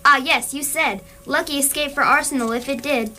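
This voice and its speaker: Chatty, servile radio-play barman acted by a woman, very high and bright, faint noise behind. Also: moderate pace. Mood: chatty, deferential. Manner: polite, servile small talk. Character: chatty, deferential, puzzled pub barman. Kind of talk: amateur radio theatre acting.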